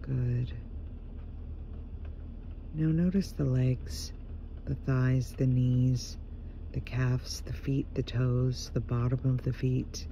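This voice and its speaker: A man leading a guided meditation in a gentle, hushed voice, leaving unhurried pauses between short phrases. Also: mid-range voice, little pitch movement, vocal fry. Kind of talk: guided meditation, slow soothing narration. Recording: muffled, dull home recording with faint noise.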